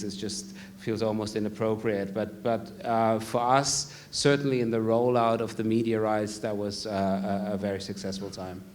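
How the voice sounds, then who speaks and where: Monotone man on a business panel. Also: fairly low pitch, slight background noise. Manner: steady, hesitant fillers. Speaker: media rights panelist. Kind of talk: panel discussion.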